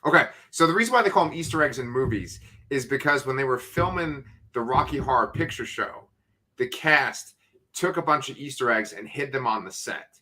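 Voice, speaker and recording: A middle-aged man co-hosting a casual live chat, excitable and swearing freely as he explains things. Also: medium pitch. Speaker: co-host.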